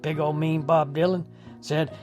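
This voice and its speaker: A man's voice: a little high, flat delivery, a little slow, a little mellow, soft background music. A man hosting a radio show, telling comic stories in a folksy, mock-solemn way.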